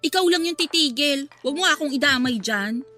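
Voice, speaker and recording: A woman's voice: sits high, animated, fairly quick, bright, forceful tone. A woman playing a blunt, brazen female friend in a Filipino radio drama, scornful and confrontational. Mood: annoyed, challenging.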